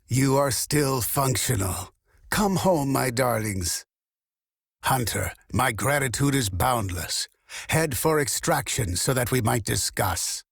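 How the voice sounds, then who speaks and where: An actor playing a haughty, obsessive male artificial intelligence in a science fiction game, speaking in a formal, pompous, measured and condescending way. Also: fairly low pitch, vocal fry, a bit bright, breathy edge, no echo, clean studio sound, exaggerated voice. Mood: grave, concerned. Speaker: quest-giver character in a science fiction game. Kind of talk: scripted video game voice acting.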